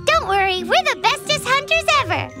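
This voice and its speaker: Cheeky little game fairy: squeaky, childlike, extremely high and bouncy, over music. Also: female voice, sing-song, fairly quick, full character voice. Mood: excited. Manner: squeaky, childlike, speaks in third person. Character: tiny childlike floating fairy companion, cheeky and excitable.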